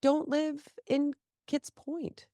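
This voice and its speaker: A woman's voice: high voice, slow, no echo. A woman co-hosting a casual political chat podcast, talking animatedly while she has a cold and sniffles. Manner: animated, jumps between topics, quoted asides. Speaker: podcast co-host.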